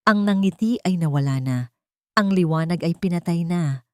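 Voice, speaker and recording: Female narrator, lowish voice, emotive audio-drama storytelling, close and dry recording. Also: normal speed, mellow, dry recording.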